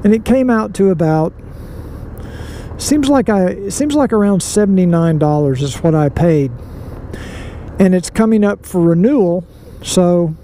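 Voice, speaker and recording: A man's voice: high-pitched, dark tone. A middle-aged man vlogging as he rides a motorcycle, recorded on a helmet mic, telling a story in a folksy, rambling way with lots of asides. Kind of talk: casual riding vlog monologue. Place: outdoors riding a motorcycle on city roads. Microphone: helmet mic, helmet-mounted camera. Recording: road and wind noise from riding.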